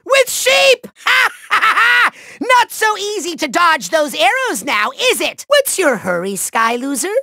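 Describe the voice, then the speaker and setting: A man voicing a small, megalomaniac cartoon villain in a video game, with a shrill, gravelly, nasal voice and bombastic, gloating, theatrical delivery. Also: sing-song, pushed and bright, dry recording, studio quality, heavily acted. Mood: mocking, impatient. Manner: bombastic, gloating, sneering delivery with drawn-out words.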